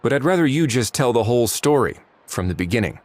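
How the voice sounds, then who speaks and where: An actor playing a calm, probing male investigator in a video game, measured and quietly commanding. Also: mid-range voice, a little hiss or hum, lightly acted. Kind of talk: video game cutscene voice acting.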